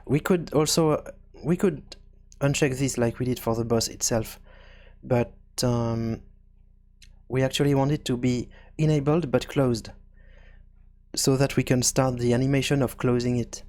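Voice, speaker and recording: A man narrates a software tutorial in an unscripted way. His voice sits at a middling pitch, and he speaks haltingly, often pausing partway through a sentence as he explains each step. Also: fairly even intonation. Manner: halting, explanatory, frequent pauses mid-sentence. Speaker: tutorial presenter.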